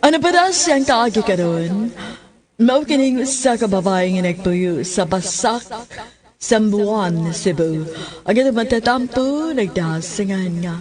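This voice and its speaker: A woman's voice: medium pitch, animated, a little slow, crowd noise. A woman with a Cebuano accent narrating a radio drama as its scripted storyteller. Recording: loud music bed behind voice. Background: loud music.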